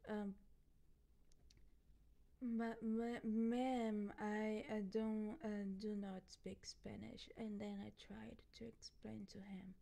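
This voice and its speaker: A woman voicing a happy, goofy, drunk girlfriend in a roleplay, soft and often near a whisper, rambling and repeating herself. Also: medium pitch, vocal fry. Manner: rambling, halting, repeating words, giggly. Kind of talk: ASMR roleplay, voicemail monologue.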